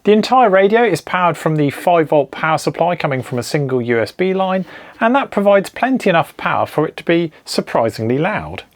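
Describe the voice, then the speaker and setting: A man calmly explaining an electronics build step by step, in a clear, methodical narration. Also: on the high side, brisk. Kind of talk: scripted technical narration.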